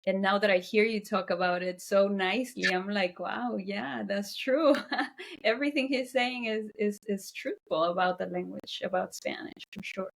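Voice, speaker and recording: A woman's voice: mid-range voice, normal speed, creaky. A woman from Peru hosting a casual interview, speaking a little hesitantly as she searches for words. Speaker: interview host.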